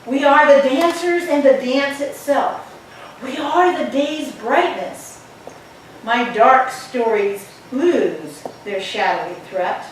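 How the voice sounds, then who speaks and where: A woman minister reading a poem expressively to a congregation, with deliberate, dramatic phrasing and pauses. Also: sits high. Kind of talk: expressive poetry reading within a church talk. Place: large indoor church sanctuary. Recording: very echoey hall, distant mic, faint noise.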